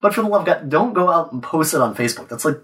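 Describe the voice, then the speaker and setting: A young man co-hosting a podcast, his voice stuffed up and nasal from a cold. He talks in a laid-back, offhand way with a lively, swinging pitch, sitting a little back from the mic. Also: recorded from a distance. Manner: laid-back, swears casually, quick asides. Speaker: podcast co-host. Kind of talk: casual chat.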